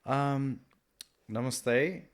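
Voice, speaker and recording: A man, a guest in a casual interview, talking in a hesitant, halting way with many pauses. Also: mid-range voice. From Nepal. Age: 30s. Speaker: guest, artist and kids entertainer.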